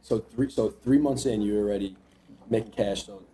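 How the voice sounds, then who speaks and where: A man's voice: fairly low pitch, normal speed, warm tone, slight background noise. A man hosting a podcast, asking questions in a casual interview chat. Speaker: podcast host.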